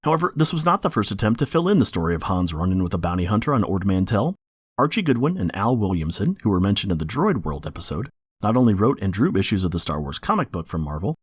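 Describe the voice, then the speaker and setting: A man hosts a podcast from a prepared script. His voice sits on the low side and moves up and down with lively intonation. The recording is close and dry. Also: husky, no echo. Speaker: podcast host. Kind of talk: scripted informative narration.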